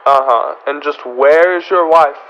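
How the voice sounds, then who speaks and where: Man acting an officious high-voiced store official. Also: unhurried, a bit bright, a little hiss or hum, poor audio. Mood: condescending, suspicious. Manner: firm, patronizing, clipped questioning. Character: officious, condescending male department store detective. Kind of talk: old-time radio comedy acting.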